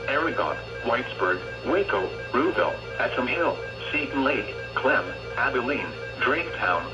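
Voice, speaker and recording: A synthetic American man's voice delivers scripted severe weather bulletins. His delivery is impersonal and formulaic, at a medium pitch. It comes through a lo-fi, muffled weather radio. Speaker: automated weather radio announcer. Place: radio speakers picked up by recording device. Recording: band-limited weather radio broadcast.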